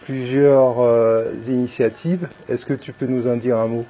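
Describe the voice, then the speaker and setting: A man's voice: medium pitch, flat delivery, measured pace, mellow. A man hosting a radio interview, asking short questions and prompting his guest. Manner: short prompts and back-channel replies.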